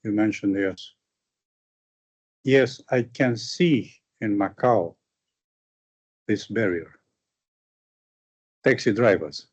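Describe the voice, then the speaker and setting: A lecturer speaks English with a Romanian accent, slowly and with pauses as he searches for the right word. His voice sounds a little muffled, as on a lo-fi recording. He comes across as thoughtful and unhurried. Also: lowish voice, darkish tone, slightly rough. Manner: halting, searching for words. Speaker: visiting lecturer. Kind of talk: answering a question after a lecture.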